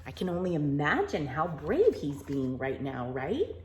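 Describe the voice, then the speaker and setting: A woman reading a picture book aloud to children in an expressive, wonder-filled way, with chatty asides and hushed moments. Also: low-pitched, unhurried, a little hiss or hum. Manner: animated, wonder-filled, addressing young listeners, dropping to hushed tones.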